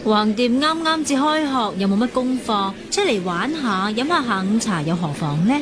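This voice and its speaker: A woman's voice: a little high, expressive, moderate pace, noisy background, lightly acted. A woman acting a young student who teases her friend in a chatty way, in a radio drama. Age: young adult. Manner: teasing, chatty. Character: teasing, sensible young female student friend. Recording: crowd chatter behind the voice.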